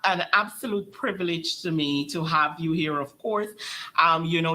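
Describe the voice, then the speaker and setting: A woman hosting a talk show, asking polite, measured questions with plenty of fillers. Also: low-pitched, sharp tone, low-quality recording. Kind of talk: interview questions.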